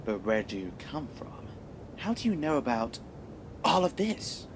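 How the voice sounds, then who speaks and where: An actor playing an earnest, inquisitive newspaper writer turned detective in an audio drama, speaking dramatically. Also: male voice, mid-range voice, sing-song, unhurried, background noise. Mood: curious, wary. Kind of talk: audio drama acting and first-person narration.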